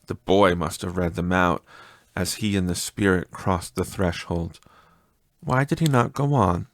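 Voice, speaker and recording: A man's voice: low voice, a little slow, dry recording. A man narrating a classic story as an audiobook reader, in a dramatic literary style.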